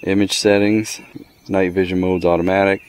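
Low-voiced man, casual gadget reviewer. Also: little pitch movement, normal speed, slight background noise. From the Americas. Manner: plainspoken, matter-of-fact, clipped phrases. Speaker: product reviewer. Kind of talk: casual hands-on app walkthrough. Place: outdoors under a canopy in a wooded yard.

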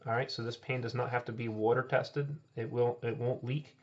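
Male HVAC tech host, mid-pitched, plain how-to delivery, dull point-of-view camera audio. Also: fairly even intonation, normal speed. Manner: plain, explanatory, pointing things out. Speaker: HVAC technician and channel host. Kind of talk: hands-on instructional walkthrough. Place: indoor utility closet, later outdoors by a heat pump. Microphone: camera-mounted mic, speaker filming himself point-of-view.

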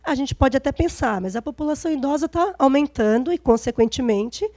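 Mellow, high-pitched Brazilian woman lecturer, slightly hoarse. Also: expressive, brisk, dry recording, clean studio sound. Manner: informal, frequent checking tags like "né". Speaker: lecturer, gerontology specialist. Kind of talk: public lecture, conversational. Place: event stage before seated audience, indoors. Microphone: handheld microphone.

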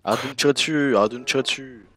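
Fast-talking young man trolling in a game. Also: mid-range voice, steady tone, a bit bright, soft background music. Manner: slangy, repetitive, provocative. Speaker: gaming streamer, player. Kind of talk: trolling banter in an online roleplay game.

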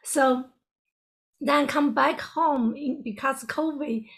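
Halting high-voiced woman with a gravelly edge. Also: a bit bright. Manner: halting, searching for words, broken grammar. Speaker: guest. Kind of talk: personal story in an interview.

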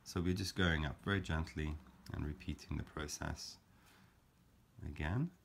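A man calmly explaining a paper craft step by step, in a gentle, measured way with frequent fillers. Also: rumbling low, vocal fry, slight background noise. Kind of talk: calm step-by-step craft tutorial.

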